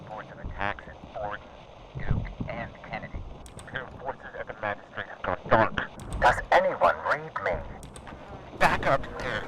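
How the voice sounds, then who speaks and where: A man's voice: medium pitch, animated, moderate pace, pauses often, background noise, natural voice. A man playing a frantic male soldier making urgent, clipped reports over comms.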